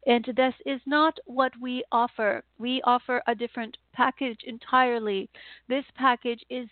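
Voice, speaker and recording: A woman delivering a channeled spiritual message in a slow, halting, repetitive way, with sighs. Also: fairly high pitch, steady tone, dry recording. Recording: tinny phone-like line, dry.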